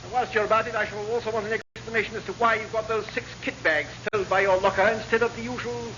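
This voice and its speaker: A man's voice: high voice, steady tone, fast, sharp tone, highs cut off. An actor playing a fussy, exasperated naval officer in a radio comedy, formal and emphatic as he reprimands. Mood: stern. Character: exasperated, authoritative naval officer, stern but rather fussy. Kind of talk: classic British radio sitcom acting. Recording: noisy, band-limited vintage radio recording.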